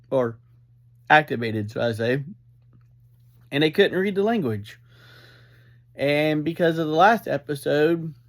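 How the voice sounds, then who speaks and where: A man hosting a casual, rambling review, upbeat and emphatic, who speaks haltingly and gets easily sidetracked. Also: on the high side. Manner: upbeat, energetic. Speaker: podcast reviewer and host.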